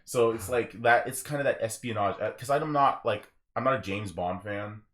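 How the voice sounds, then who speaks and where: Man, young adult, lower pitch, podcast host, casual discussion, animated storyteller. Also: normal speed. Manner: animated storytelling with acted quotes.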